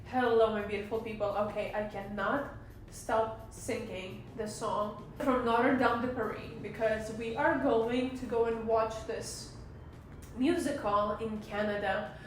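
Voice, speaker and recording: A woman, raspy from singing, chatting casually and emphatically to camera as a psychologist vlogger. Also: a little high, steady tone, unhurried, recorded from a distance, slight background noise. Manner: chatty, emphatic, many asides. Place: indoors at home, sunny room. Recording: very echoey room, mic set back.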